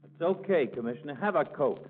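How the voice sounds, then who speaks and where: An actor playing a grumbling, plain-spoken small-town fellow with a folksy, slangy manner in a 1940s radio comedy. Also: male voice, on the high side, animated, brisk, close to an everyday voice. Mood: conciliatory. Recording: muffled, noisy 1940s radio recording.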